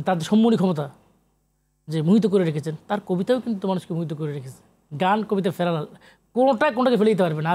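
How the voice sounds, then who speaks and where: A man's voice: sits high, darkish tone. A middle-aged man with a Bangladeshi accent, an academic guest on a talk show, explaining at length and emphatically, repeating phrases and dropping in English terms. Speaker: guest, academic sociologist and literary researcher.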